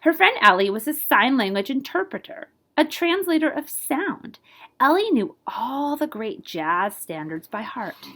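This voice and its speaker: A woman reading a picture book aloud to children as a librarian, expressive and playful, with asides to her listeners. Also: sits high, measured pace. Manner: animated, playful with scat-like sound words.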